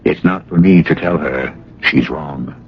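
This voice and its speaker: A man hosting a radio mystery series with dramatic, deliberate, literary narration. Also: extremely low pitch, a little hiss or hum. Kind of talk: theatrical scripted radio narration. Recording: dull old radio broadcast, music.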